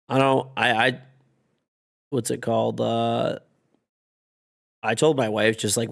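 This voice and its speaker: Gravelly, profane streamer losing his voice. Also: medium pitch. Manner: loose, profane, rambling. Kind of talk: casual chat with stream chat.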